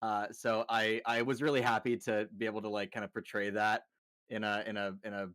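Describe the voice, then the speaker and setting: A man's voice: lowish voice, level tone, brisk, a bit bright. A man voice actor speaking earnestly and heartfelt, stumbling and repeating himself. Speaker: voice actor on a panel.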